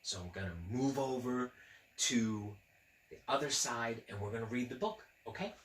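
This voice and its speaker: A man hosting a children's story time, reading aloud with gentle, rambling asides and questions to his young listeners. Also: medium pitch, normal speed, far from the mic. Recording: roomy echo, clear background noise.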